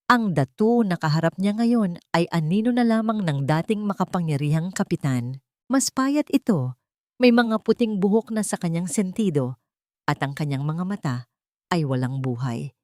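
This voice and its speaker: A woman's voice: lowish voice, animated, moderate pace, darkish tone, no echo, studio quality. A woman reading a dramatic scripted story as narrator.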